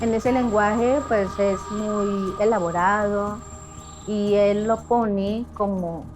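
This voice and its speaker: A woman's voice: mid-range voice, fairly even intonation, music in the background. Thoughtful woman speaking a second language. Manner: measured, thoughtful, pauses to find words. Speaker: interviewee. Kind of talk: reflective interview answers.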